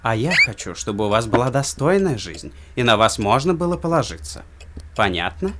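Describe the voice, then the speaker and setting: A man's voice: mid-range voice, very animated, normal speed, very noisy, lightly acted. A man voicing a stern father cat in a children's cartoon, lecturing his kittens in a firm, moralizing manner.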